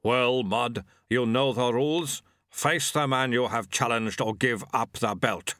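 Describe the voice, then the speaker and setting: A man voicing a stern male martial arts master who speaks in a measured, formal way. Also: mid-range voice, fairly bright tone, no echo, clean studio sound, natural voice.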